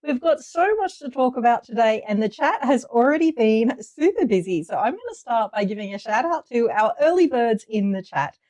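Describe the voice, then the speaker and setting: A bubbly woman with a slightly high voice hosts a relaxed livestream, greeting viewers one by one. She is getting over a cold but stays chatty and cheerful. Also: normal speed, hardly any pauses. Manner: chatty and upbeat, reading viewer names aloud. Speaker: livestream host.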